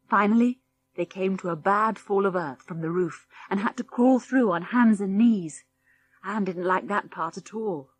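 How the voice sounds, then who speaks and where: A British woman narrates a children's adventure audiobook. Her voice sits at a middle pitch and she tells the story expressively, with clear articulation and a sense of drama.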